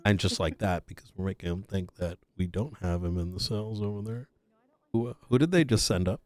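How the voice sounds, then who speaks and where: A man's voice: low voice, fairly quick, a little mellow, no room sound. A man playing a police officer in a roleplay game, talking casually with lots of hesitant fillers. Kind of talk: in-character roleplay chat.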